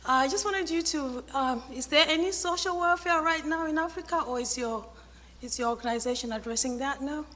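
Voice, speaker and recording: A woman asks a polite, soft-spoken question from the audience. She sounds distant, and her voice echoes with a long reverb in a large hall. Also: high voice, brisk, a little hiss or hum. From Zambia. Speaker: audience member asking a question.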